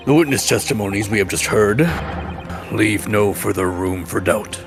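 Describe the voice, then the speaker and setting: A man's voice: fairly low pitch, moderate pace, natural voice. A man voicing a grave, brusque, commanding judge in a live comedic game dub. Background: game music.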